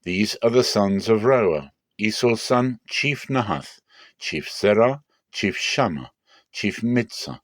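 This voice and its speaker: A man reading scripture aloud dramatically, in measured and deliberate phrases with clear pauses. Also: on the low side, animated, no echo, clean studio sound. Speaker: Bible reader.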